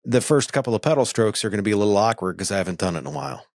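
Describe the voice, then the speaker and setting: A man's voice: fairly low pitch, sing-song, very fast, a little mellow, dry recording, studio quality. A man hosting a podcast interview, friendly and affirming, with hesitant fillers. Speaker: podcast host. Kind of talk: casual interview chat.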